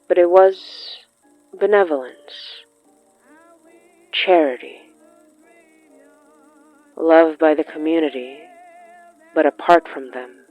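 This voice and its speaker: A narrator telling a scripted literary horror story in a measured way, with dramatic pauses between short phrases. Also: medium pitch, dull audio. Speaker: narrator of a horror fiction podcast.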